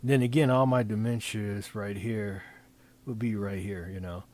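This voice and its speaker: Low-voiced man giving an informal software tutorial. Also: fairly even intonation, slight background noise. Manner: halting, thinking aloud with repeated phrases. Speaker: presenter demonstrating design software. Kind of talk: informal screen-recording tutorial, improvised.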